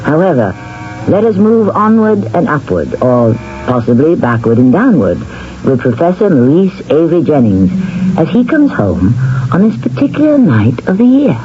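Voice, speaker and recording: A man hosting and narrating a radio mystery drama in a deliberate, theatrical, wry manner. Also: a little high, very animated, mellow, a little hiss or hum. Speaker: host and narrator. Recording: dull vintage radio broadcast, music underneath.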